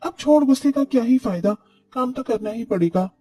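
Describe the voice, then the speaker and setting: A man voicing an overworked, resentful young Indian daughter-in-law in an animated story, complaining indignantly. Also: very high voice, fairly quick, slightly rough, distant mic, faint music behind, voice pushed up, heavily acted. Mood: fed up.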